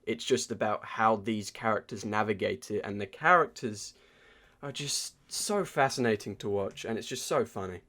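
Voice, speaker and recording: Low-pitched man chatting casually. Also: moderate pace, pushed and bright. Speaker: podcast co-host. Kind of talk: casual pop culture review chat.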